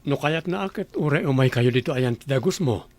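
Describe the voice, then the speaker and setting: An actor playing a calm, gentle ranch owner who gives instructions in a radio drama. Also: male voice, mid-range voice, a little fast, close to an everyday voice.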